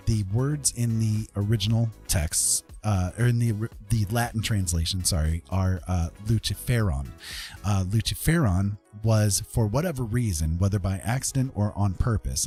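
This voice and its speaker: A man with a fairly low voice talks casually as a livestream host. He explains things step by step, pausing between short phrases. Music plays faintly behind him. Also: darkish tone.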